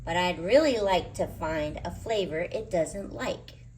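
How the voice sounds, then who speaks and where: A woman's voice: fairly low pitch, animated, unhurried, a little mellow, a little hiss or hum, low-quality recording. A woman voicing a frustrated, determined young boy in an emphatic, exasperated storybook read-aloud. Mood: musing.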